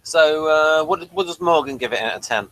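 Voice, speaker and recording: Guy with a crisp bright voice, casual review chat, lots of i, i restarts. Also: on the high side, animated, normal speed. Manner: hesitant, repeats words when starting. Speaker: podcast co-host.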